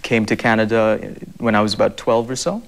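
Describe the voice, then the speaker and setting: A man's voice: lowish voice, steady tone, a little fast, gravelly. A young man from Zambia, answering interview questions earnestly, with many fillers and repeated words. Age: young adult. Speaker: guest.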